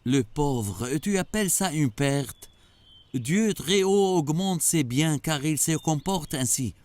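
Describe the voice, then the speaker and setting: A wise, patient mentor figure in a children's religious cartoon. He teaches in slow, measured phrases with very animated intonation and a dry sound. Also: on the high side, no room sound. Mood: patient, instructive. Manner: didactic, measured, affectionate address. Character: wise, patient male mentor explaining moral lessons.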